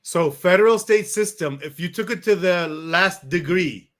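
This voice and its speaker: A man's voice: high-pitched, moderate pace, fairly bright tone. A man hosting a podcast, chatting casually and thinking aloud in a friendly way, hedging with "you know". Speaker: podcast host. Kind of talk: casual conversation.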